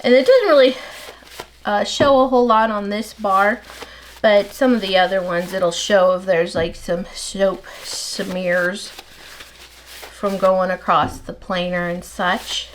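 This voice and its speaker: A middle-aged woman with a slightly nasal voice chats in a rambling, casual way while she works. Her words run on quickly, as if she barely pauses to breathe. Her pitch sits in a middle range. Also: steady tone, slight background noise, poor audio. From United States. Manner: rambling, talks fast without pausing for breath. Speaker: soap maker. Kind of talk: casual chat while working. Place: home soap workshop.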